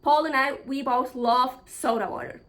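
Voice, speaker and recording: Woman in her thirties, high voice, casual vlog chat, blunt, swears freely. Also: fairly even intonation, moderate pace, a bit bright. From Switzerland. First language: Swiss German. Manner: blunt and frank, swears freely, thinks aloud. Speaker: travel vlogger.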